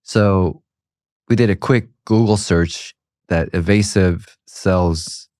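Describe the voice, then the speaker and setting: A man with a very deep voice co-hosts a podcast, talking casually and curiously with easygoing slang. The sound is dry and close-miked. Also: slow, darkish tone, no echo. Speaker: podcast co-host. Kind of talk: casual interview chat.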